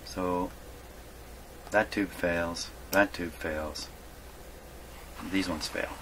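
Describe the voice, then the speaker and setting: A man giving a casual hands-on workshop demonstration, thinking aloud in a halting mutter and repeating his words. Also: sits low, fairly even intonation. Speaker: hobbyist radio repairer presenting his workshop video. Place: radio repair workshop. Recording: clearly noisy workshop recording.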